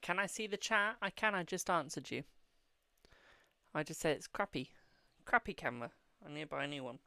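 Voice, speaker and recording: A woman's voice: deep voice, expressive, fairly quick, vocal fry, sharp tone, no echo, clean studio sound. A woman from the UK with a British accent, casually chatting on her tarot livestream from home. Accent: British English. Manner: chatty, hums to herself, calls out to family. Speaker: tarot reader livestream host. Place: home room. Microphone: cheap webcam mic.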